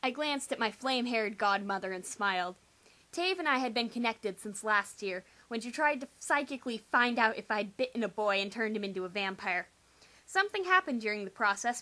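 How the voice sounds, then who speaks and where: Young female reader, high bright voice, sarcastic and theatrical delivery of a teen novel. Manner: exaggerated, sarcastic, theatrical emphasis. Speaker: amateur reader. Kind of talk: dramatic reading of a first-person teen novel.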